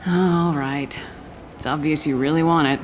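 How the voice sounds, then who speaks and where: A woman's voice: low voice, fairly even intonation, unhurried, slight background noise. A woman voicing a terse, direct starship commander in a video game. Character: steely female starship commander.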